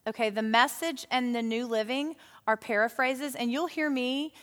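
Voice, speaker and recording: Woman, Bible study teacher, higher voice, chatty and animated, long hall reverb. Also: normal speed. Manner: animated, chatty, with frequent fillers. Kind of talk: lively teaching talk to a women's Bible study group.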